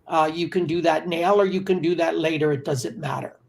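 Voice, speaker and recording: A man's voice: on the high side, steady tone, brisk, gravelly, darkish tone, slight background noise. Older man hosting a casual interview. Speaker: podcast host. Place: home study with bookshelves. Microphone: video call.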